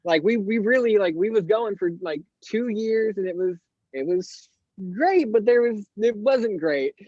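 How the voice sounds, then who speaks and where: An eighteen-year-old man with a higher-pitched voice, a guest on a podcast, talking candidly and rambling with lots of fillers. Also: animated, a little slow, mellow, no echo, lo-fi sound. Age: teens. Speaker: guest artist. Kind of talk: casual interview chat.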